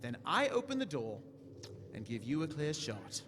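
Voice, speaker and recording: A man playing a high-voiced, grandiose time-traveller. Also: huge pitch swings, normal speed, background noise. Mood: firm persuasion. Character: eccentric, commanding, kindly male time-travelling alien adventurer. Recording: echoey stage hall with music.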